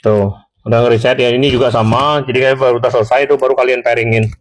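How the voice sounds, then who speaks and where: A man's voice: medium pitch, fairly even intonation, quick pace, a little hiss or hum. A man giving a casual, chatty tutorial, talking straight to viewers and correcting himself as he goes. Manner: chatty, addresses viewers directly, self-corrects. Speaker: seller demonstrating intercoms.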